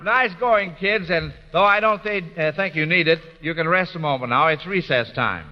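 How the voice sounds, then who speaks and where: A man's voice: a little high, moderate pace. A man hosting a 1940s radio quiz show, moving between formally read questions and slightly hesitant banter. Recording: muffled old radio, hall echo, noisy audience. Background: studio audience noise.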